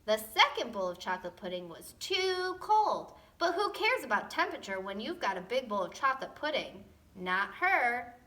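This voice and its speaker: Animated woman reading a children's story. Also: on the high side, moderate pace. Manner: expressive, playful, tongue-in-cheek. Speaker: teacher reading a storybook aloud.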